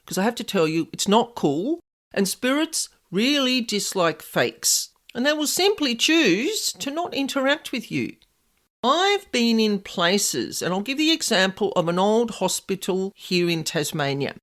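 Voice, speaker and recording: A middle-aged woman hosting a podcast, telling stories in a loud, extravagant, easily sidetracked way. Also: medium pitch, very animated, measured pace. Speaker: podcast host, paranormal investigator. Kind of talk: casual storytelling podcast.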